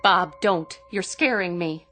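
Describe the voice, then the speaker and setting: An actress playing a worried, gently reassuring wife and mother in a radio drama, choosing her words with care. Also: on the low side, takes it slowly, faint music behind. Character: worried, soothing wife and mother of a troubled officer.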